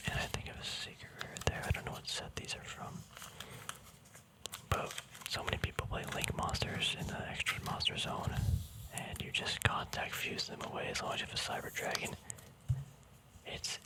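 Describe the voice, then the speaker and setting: A man whispers throughout in a breathy, voiceless hush. He talks casually in an ASMR style, murmuring his commentary as if thinking aloud, with a hesitant, unscripted flow. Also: low-quality recording. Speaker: ASMR video creator. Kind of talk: ASMR, casual rambling commentary on a card deck.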